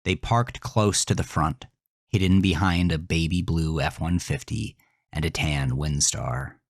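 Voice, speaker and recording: A man's voice: extremely low pitch, measured pace, no echo, clean studio sound. A man narrating a scripted horror story with intense, dramatic delivery that sinks into whispers.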